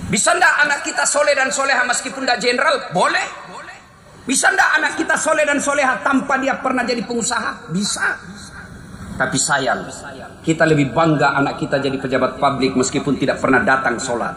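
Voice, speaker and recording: A middle-aged man with an accent from Sulawesi, preaching a Friday sermon at a stand mic, telling stories and firing rhetorical questions, swinging between loud and hushed. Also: sits high. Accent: Indonesian from Sulawesi. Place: mosque stage, indoors. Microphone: stand mic at lectern. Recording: echoey hall.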